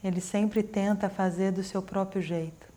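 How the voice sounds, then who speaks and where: A woman interpreting consecutively, with an even, steady, unemotional delivery. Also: mid-range voice, moderate pace.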